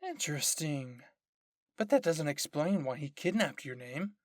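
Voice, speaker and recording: A man's voice: on the high side, lively intonation, measured pace, dry recording, clean studio sound. A man playing an inquisitive, analytical woman military officer in an audio story, pondering and articulate. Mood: intrigued.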